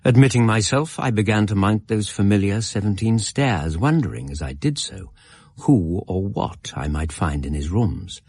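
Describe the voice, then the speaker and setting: Low husky English gentleman narrator. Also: animated, no room sound. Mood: reflective. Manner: measured, formal, literary. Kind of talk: dramatised first-person narration.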